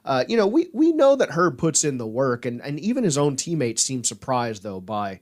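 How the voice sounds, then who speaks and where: A man's voice: medium pitch, sing-song, normal speed. A man hosting a podcast in a casual, informal sports chat style. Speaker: podcast host.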